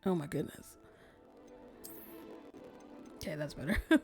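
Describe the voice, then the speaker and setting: A woman streamer with a lowish voice chats casually into her desk mic as she plays, often trailing off soft and close to a whisper. Faint game music sits under her talk. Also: unhurried. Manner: chatty, soft-spoken, often drops to near whisper. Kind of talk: casual gameplay commentary.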